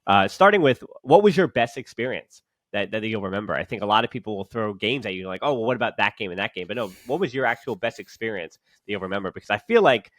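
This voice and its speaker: Rambling man, low voice, dry sound. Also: animated, quick pace, fairly bright tone, dry recording. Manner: rambling, many restarts and fillers. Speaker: podcast host. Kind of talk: casual podcast conversation.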